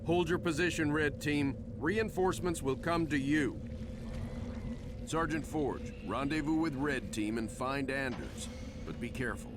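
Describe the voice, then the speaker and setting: A man's voice: unhurried, natural voice. A man playing an authoritative warship captain in a scripted video game, giving terse orders to his crew. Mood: steady, measured. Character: steady, commanding male warship captain. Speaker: ship captain. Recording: loud music and vehicle rumble behind.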